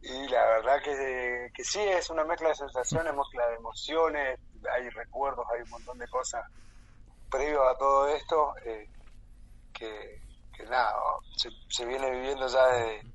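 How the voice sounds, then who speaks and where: A man's voice: medium pitch, unhurried, a bit bright, lo-fi sound. A man in his thirties with an Argentine accent, a boxer guest talking on a radio phone interview, hesitant and repeating words, laughing easily. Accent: Argentine Spanish. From San Martín de los Andes, Argentina. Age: 30s.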